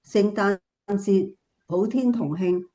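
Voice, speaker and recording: A woman's voice: medium pitch, takes it slowly, warm tone, natural voice. A woman playing a patient, devout older relative who explains earnestly in a Cantonese radio drama.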